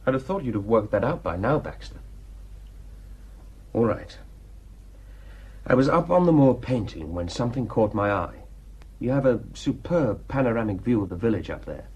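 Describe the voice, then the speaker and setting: A man's voice: medium pitch, lively intonation, moderate pace, long pauses, darkish tone, breathy edge. An actor playing a cynical, defiant male painter in a radio drama, telling his tale wryly and without remorse. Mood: musing.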